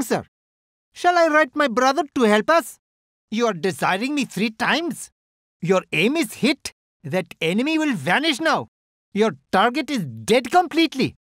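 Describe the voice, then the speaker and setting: A man's voice: extremely high pitch, fairly bright tone, no echo, studio quality, full character voice. A man voicing a British Empire artillery soldier from India, giving stiff, oddly worded reports. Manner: formal, oddly phrased reports. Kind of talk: game unit voice lines.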